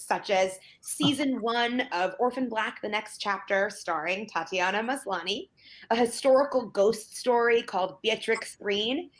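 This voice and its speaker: Lively, higher-pitched woman host. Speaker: event host introducing a panel. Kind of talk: scripted announcement.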